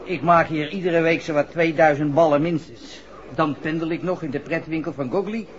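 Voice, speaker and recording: An actor playing a brash, cocky young playboy with slangy, boastful talk in a 1960s radio drama. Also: male voice, fairly high pitch, moderate pace, lightly acted. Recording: muffled vintage radio recording, music behind.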